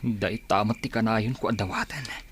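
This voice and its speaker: A man's voice: lowish voice, normal speed, a bit bright, close to an everyday voice. Actor as brooding man, faint insect noise. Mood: brooding, bitter. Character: brooding embittered man seeking revenge for his family. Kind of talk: Ilocano radio drama acting.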